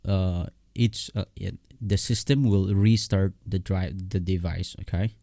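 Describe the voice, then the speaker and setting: Deep, mellow man giving a halting tutorial. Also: fairly even intonation, gravelly, no room sound, studio quality. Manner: halting, repetitive, with frequent check-in words. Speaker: software tutorial host. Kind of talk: casual unscripted screen tutorial.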